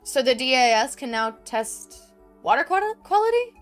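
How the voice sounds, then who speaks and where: A woman talks through her game session from home into a desk mic, leaning heavily on "um" and "like" as she thinks aloud. Her voice sits a little high for a woman. Quiet game music plays faintly in the background. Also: sing-song, normal speed. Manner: chatty, full of "um" and "like". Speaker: let's play gamer. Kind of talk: casual gameplay commentary. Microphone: desk microphone.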